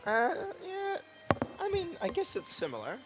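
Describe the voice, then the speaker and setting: A man's voice: extremely high pitch, wildly swooping pitch, moderate pace, over music. A man giving casual game reaction commentary as a streamer, rambling, teasing and swearing freely.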